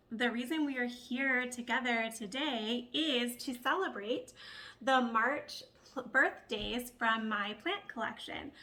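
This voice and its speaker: A woman's voice: on the high side, bright, forceful tone. A woman with a slightly nasally, congested voice from a cold, chatting casually and bubbly as a plant vlog host.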